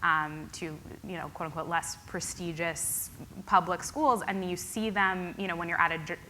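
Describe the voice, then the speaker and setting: A woman on a conference panel, answering with many fillers and pauses. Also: lowish voice, steady tone, pushed and bright, slight background noise, rough recording. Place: conference hall.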